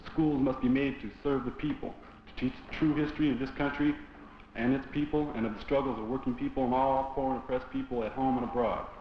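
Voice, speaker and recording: A man's voice: mid-range voice, steady tone, fairly quick, slight background noise. A man reading out a list of political demands. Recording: echoey, distant, dull old film sound.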